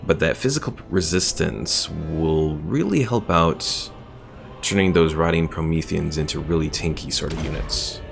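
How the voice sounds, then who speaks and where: Brief: male game streamer, low register with vocal fry, casual thinking-aloud commentary, faint music underneath. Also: moderate pace. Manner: thinks aloud, reads out chat messages.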